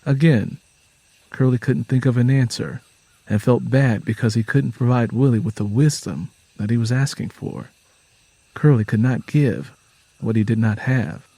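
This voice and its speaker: A man's voice: mid-range voice, moderate pace, warm tone, dry recording, low-quality recording. A man narrating fiction as a dramatic reading. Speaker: story narrator.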